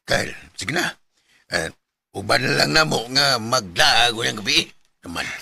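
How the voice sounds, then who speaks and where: A man's voice: medium pitch, animated, normal speed, vocal fry, music in the background. A Filipino man with a Cebuano accent acting a teasing, pushy best buddy who banters and nags in a Bisaya radio drama.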